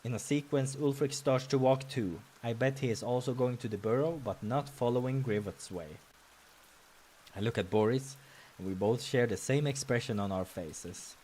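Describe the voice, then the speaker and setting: A man's voice: mid-range voice, moderate pace, warm tone, a little hiss or hum. A man reading a visual novel's story aloud as narrator, with offhand asides of his own. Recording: music behind the voice.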